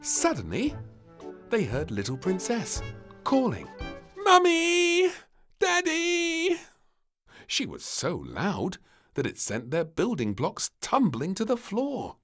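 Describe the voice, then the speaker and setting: A man narrating a children's storybook in an expressive, dramatic and deliberate scripted style. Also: fairly high pitch, slightly rough, soft background music.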